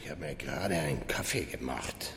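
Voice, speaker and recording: An actor playing a taciturn neighbour with a gravelly voice and halting manner, in a children's audio drama. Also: male voice, a bass voice, a bit bright. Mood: reserved. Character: slow, taciturn male neighbour.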